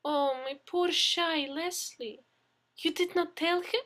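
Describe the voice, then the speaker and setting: A woman's voice: very high-pitched, fairly even intonation, takes it slowly, a bit bright, pitched above the performer's own voice, heavily acted. A woman voicing a coy, effusive young Russian woman who speaks broken English with a Russian accent in a story. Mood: coy, affectionate. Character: coy, theatrically pleading young foreign woman, Russian fiancée.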